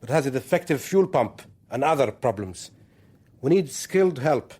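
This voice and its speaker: An actor playing a smugly menacing militant leader in a radio drama, authoritative, probing and condescending. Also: male voice, medium pitch, lively intonation, moderate pace, darkish tone, breathy edge. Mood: peremptory.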